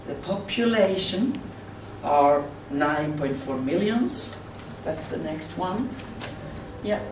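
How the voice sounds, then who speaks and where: Swedish lady giving a slow talk, choppy english, far off mic, echoey room, bit of hiss. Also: low-pitched, lively intonation. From Sweden. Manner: halting, plain non-native English with pauses. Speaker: conference speaker. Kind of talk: slide presentation. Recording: roomy, distant mic with faint hiss.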